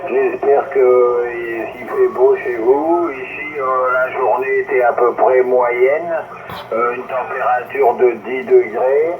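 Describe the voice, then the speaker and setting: A man on a CB radio contact, speaking in an unhurried way with drawn-out filler sounds. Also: mid-range voice, steady tone, crowd noise. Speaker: CB radio operator. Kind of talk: casual radio contact chat. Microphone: heard over a radio link. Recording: tinny, noisy radio transmission.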